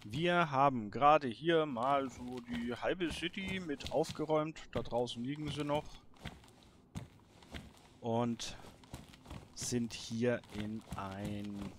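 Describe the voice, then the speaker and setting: A man hosts a gameplay series in a casual way. He speaks slowly at a medium pitch, thinking aloud in short, broken phrases. The recording has noticeable noise and clicking. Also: a bit bright. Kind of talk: casual let's play commentary.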